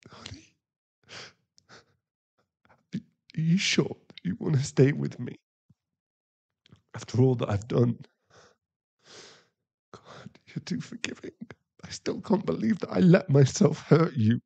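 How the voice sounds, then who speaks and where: A man acting a remorseful, tender werewolf boyfriend in an intimate ASMR roleplay, halting and emotional, often breathy. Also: fairly high pitch, expressive, mellow, dull audio, heavily acted. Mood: ashamed, tender. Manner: halting, emotional, pleading, with sighs and breathy drops into whispers.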